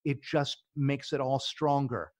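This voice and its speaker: Middle-aged man, eager, restarts words, mid pitch, dry recording. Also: fairly even intonation, unhurried, darkish tone, no room sound. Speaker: podcast guest, business founder. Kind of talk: casual interview talk.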